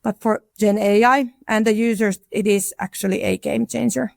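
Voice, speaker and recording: This is a woman on a conference panel with a gravelly edge to her voice. She talks frankly and with confidence. Her phrases often restart. The sound is close and dry. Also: mid-range voice, fairly even intonation, normal speed, no echo. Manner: frank, self-assured, frequent restarts.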